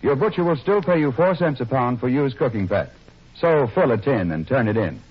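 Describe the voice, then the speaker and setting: Husky 1940s radio announcer man. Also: medium pitch, lively intonation, fairly quick. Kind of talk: 1940s radio advertising, scripted. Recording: muffled 1940s radio broadcast.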